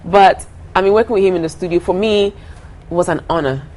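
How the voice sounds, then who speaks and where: A young woman from Ghana speaks in a notably low voice, earnest and quick to laugh. She often repeats her phrases as she talks through her answers. A faint hiss sits under the recording. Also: darkish tone, low-quality recording. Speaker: singer guest. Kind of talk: casual interview talk.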